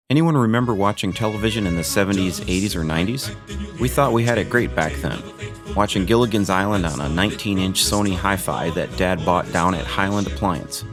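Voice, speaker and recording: Guy w a lowish voice doing a scripted gear review, dry jokey asides. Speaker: video host reviewing gear.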